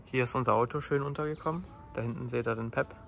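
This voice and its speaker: A man's voice: mid-range voice, fairly even intonation, quick pace, gravelly, faint music behind. A young man giving casual vlog commentary from behind a handheld camera. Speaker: vlogger filming and commenting. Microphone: handheld camera, held by the speaker.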